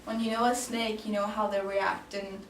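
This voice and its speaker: A woman's voice: medium pitch, level tone, normal speed. Woman, late twenties, from Denmark, hesitant, lots of ums, faint background noise, echoey. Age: 20s. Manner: hesitant, many ums and restarts. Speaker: job applicant in a mock interview. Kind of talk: casual improvised role-play interview. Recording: echoey room, mic at a distance, faint noise.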